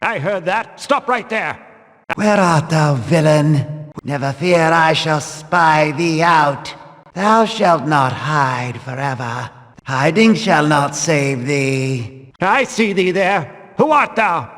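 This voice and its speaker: A fanatical religious guard from a video game, barking archaic commands at thieves. His voice sits high and rings out slowly in a long hall reverb, stern and combative. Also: expressive, fairly bright tone. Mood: menacing, searching. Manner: declamatory, archaic, commanding speech. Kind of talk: video game voice acting, archaic battle barks.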